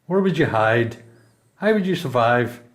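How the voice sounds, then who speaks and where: A man's voice: medium pitch, animated, measured pace, close to an everyday voice. A man acting a seasoned, reflective prisoner in a radio play, questioning and sure of himself. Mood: matter-of-fact.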